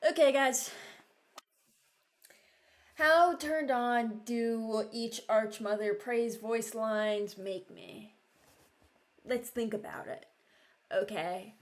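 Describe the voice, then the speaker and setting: A woman's voice: on the high side, extremely slow, pauses often. A young adult woman chatting casually as a video creator, rambling and hesitant as she thinks aloud. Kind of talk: casual reaction chat.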